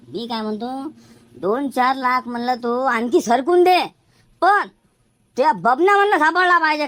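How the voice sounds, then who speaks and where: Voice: medium pitch, a bit bright, natural voice. A performer voicing a scheming cartoon village man who reasons persuasively in a rural Varhadi Marathi accent. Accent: rural Maharashtra, Varhadi Marathi. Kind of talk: comic cartoon dialogue.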